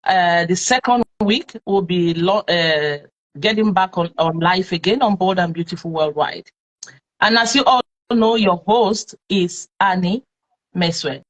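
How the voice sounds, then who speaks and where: A woman's voice: on the low side, unhurried, dull audio. A Nigerian woman hosting a live-streamed talk show, chatting with followers and leaning on plenty of fillers. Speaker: host.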